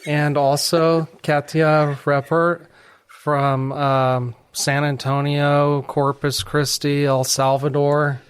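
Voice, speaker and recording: A Texan man hosting a radio talk show. He speaks in a steady, measured way with a very flat melody. His voice is smooth and resonant. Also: a little high. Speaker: attorney and radio host.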